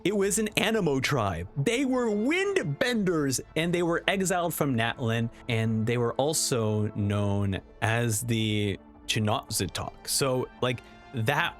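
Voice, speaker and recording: A man giving enthusiastic video essay commentary as a review host, speaking in an excitable, emphatic and informal way. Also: mid-range voice, slow pace, faint music behind.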